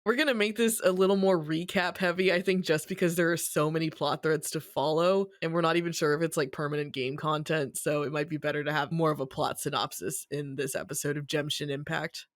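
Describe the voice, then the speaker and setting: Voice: mid-range voice, fairly even intonation, brisk, hardly any pauses, dry recording, studio quality. A podcast co-host giving a casual, colloquial story recap peppered with swearing.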